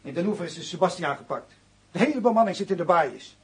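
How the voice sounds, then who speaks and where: An actor playing a hard, distrustful, bossy gangster in a radio play, with a curt, coarse, commanding delivery. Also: male voice, sits high, lively intonation, fast. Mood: grim.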